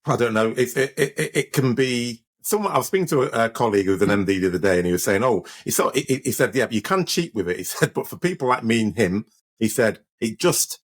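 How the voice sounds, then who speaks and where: A man's voice: medium pitch, expressive, quick pace. Stammering man hosting a podcast. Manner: hesitant, stammering restarts. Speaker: podcast host. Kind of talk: conversational interview questions.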